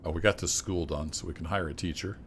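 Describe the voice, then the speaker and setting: A man streaming a city-building game, chatting casually and thinking aloud as he plays, his voice a little rough. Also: deep voice, fast, a little hiss or hum. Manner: relaxed, thinking aloud with fillers and restarts. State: slightly rough voice. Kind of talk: casual gameplay commentary.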